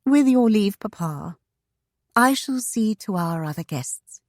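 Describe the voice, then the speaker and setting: Woman acting young storybook society widow, proud, gracious, airy, lifted pitch, lively intonation. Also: slow, no echo, clean studio sound. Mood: cool.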